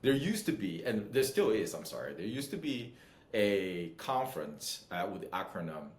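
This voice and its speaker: Middle-aged man, interview guest, low deep voice, upbeat chatty storyteller, echoey room. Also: big pitch swings, moderate pace. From Los Angeles. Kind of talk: casual interview chat.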